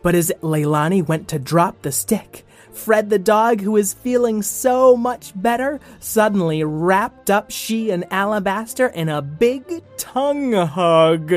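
A woman's voice: mid-range voice, lively intonation, slow, a little mellow, soft background music. A woman narrating a kids' audio drama as a storyteller.

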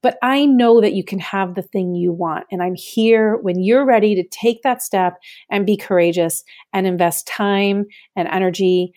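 A woman with a raspy voice hosting a podcast as a coach, talking candidly and encouragingly to listeners. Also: mid-range voice, normal speed, few pauses, darkish tone. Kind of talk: solo podcast outro.

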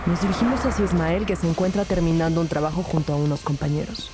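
A woman's voice: lowish voice, steady tone, quick pace, background noise, close to an everyday voice. A woman playing a determined police detective who narrates her case in a scripted audio drama. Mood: tense narrating. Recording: music bed behind the voice.

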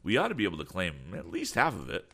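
Man acting young male inventor, earnest, plain-spoken, determined, very lively intonation, storybook hero. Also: low-pitched, fast, vocal fry, slightly rough, heavily acted. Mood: eager, confident. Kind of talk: audiobook character voice.

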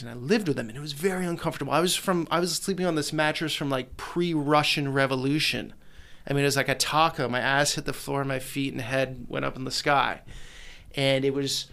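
A man in casual conversation, telling animated, rambling stories about his travels and documentary work. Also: mid-range voice, gravelly. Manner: animated storyteller, rambling with restarts. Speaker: guest, documentary maker.

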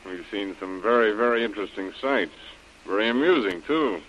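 An actor playing a sly, bossy, scheming villain in a 1930s radio adventure serial. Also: male voice, lowish voice, lively intonation, normal speed, fairly bright tone, slight background noise. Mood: amused, sly. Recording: muffled old radio recording.

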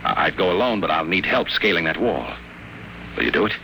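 An actor playing a bold detective posing as a patient, brisk, probing and wisecracking, in 1940s radio acting style. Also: male voice, deep voice, sing-song, pushed and bright, heavily acted. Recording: noisy old radio recording.

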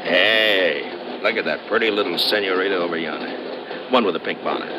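A man's voice: deep voice, close to an everyday voice. An actor playing a chatty, hapless but good-natured traveling salesman, with a folksy American manner and some stammering, in old-time radio style. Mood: jovial. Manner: folksy, stammering, drawn-out homespun talk. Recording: noisy vintage radio recording.

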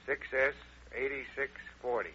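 A dull, slightly noisy old radio recording of a man playing a streetwise informant. The voice is very sharp, mid-pitched, slangy and confiding. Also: normal speed, lightly acted. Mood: sly, confiding. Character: streetwise, loyal, wisecracking police informant. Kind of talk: old-time radio drama acting.

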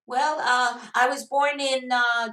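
A woman from Taiwan, a professor, answering interview questions slowly with many pauses and fillers. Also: high voice, little pitch movement, bright, forceful tone, echoey room. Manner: slow, hesitant, many fillers. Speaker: guest professor.

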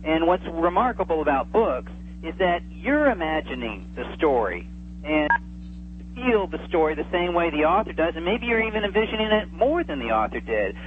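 A man's voice: on the high side, big pitch swings, normal speed. A man speaking as an enthusiastic interview guest, chatting casually and at length. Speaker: interview guest, old-time radio enthusiast. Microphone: telephone. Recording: tinny phone line, faint hum.

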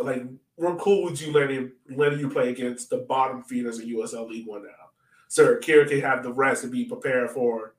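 A man's voice sounds roomy and set back from the microphone, with plenty of echo. He talks like a podcast co-host in casual sports chat, telling stories in loose, slangy speech. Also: medium pitch. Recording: roomy echo, distant from mic.

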